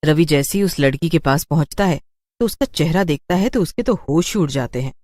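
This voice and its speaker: A woman's voice: low-pitched, lively intonation, a little fast, darkish tone. A woman narrating a scripted horror story for a cartoon.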